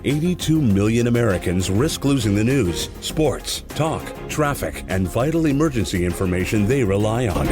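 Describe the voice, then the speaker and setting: A man's voice: lowish voice, animated, moderate pace, hardly any pauses. A man reading a scripted radio campaign announcement. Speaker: public announcement narrator. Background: music.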